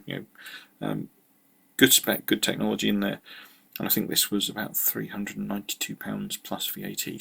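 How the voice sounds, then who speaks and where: Low, very gravelly British man. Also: fairly bright tone. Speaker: presenter of a tech review channel. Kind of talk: informal product walkthrough.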